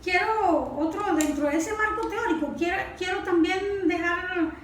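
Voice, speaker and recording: A woman's voice: very high voice, moderate pace. A woman with a Venezuelan accent giving a didactic technical explanation as a specialist, often posing rhetorical questions. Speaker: watershed management specialist, guest analyst. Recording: echoey room, background noise.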